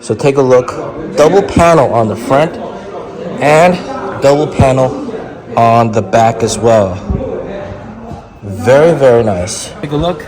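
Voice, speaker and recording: A man's voice: medium pitch, poor audio. A man hosting a casual car review vlog, talking to viewers in an emphatic way and repeating words for stress. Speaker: host of an electric car stock channel.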